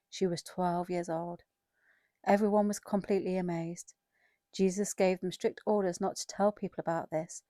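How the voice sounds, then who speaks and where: Female scripture narrator, lowish and very mellow, scripted storytelling, dry recording. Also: no echo. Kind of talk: scripted dramatized Bible narration.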